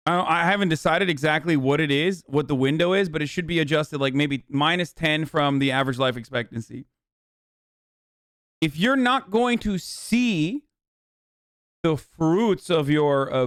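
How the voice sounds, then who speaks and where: Profane male streamer with a clear, highish voice. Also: fairly bright tone, no echo, clean studio sound. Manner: profane, exasperated, argumentative. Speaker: streamer host. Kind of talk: reaction commentary on a live stream.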